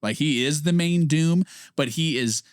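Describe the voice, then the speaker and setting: A man in his twenties co-hosting a podcast, chatting casually with lively restarts. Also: a little high, a little slow, smooth, no room sound, studio quality. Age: 20s. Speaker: podcast co-host. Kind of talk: casual chat.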